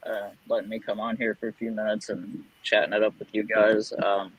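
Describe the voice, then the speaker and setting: Husky man chatting casually. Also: on the low side, flat delivery, a little fast, low-quality recording. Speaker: livestream guest. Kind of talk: casual chat.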